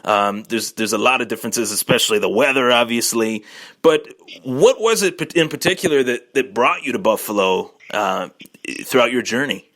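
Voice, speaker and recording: A man's voice: medium pitch, moderate pace, fairly bright tone. A man hosting a casual sports podcast, chatting with a guest and often repeating and restarting his words. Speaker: podcast host.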